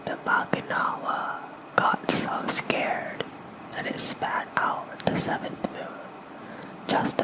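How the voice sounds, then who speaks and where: A very soft, fully whispered voice reads bedtime lore in an ASMR style. The delivery is slow, gentle and soothing. It is breathy and close on a fluffy mic. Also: poor audio. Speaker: ASMR host reading lore. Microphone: close fluffy-covered microphone.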